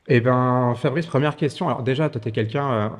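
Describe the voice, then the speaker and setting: A man's voice: mid-range voice, fairly quick, big-room sound. A man hosting a podcast interview, talking casually with lots of fillers. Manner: filler-heavy, informal. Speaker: podcast host.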